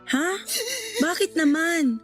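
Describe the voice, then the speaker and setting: A woman's voice: very high-pitched, slow pace, music in the background. A woman with a Filipino accent playing a blunt, protective friend in a radio drama, emphatic and indignant. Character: indignant, protective female friend of a wronged wife. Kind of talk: Tagalog radio drama acting.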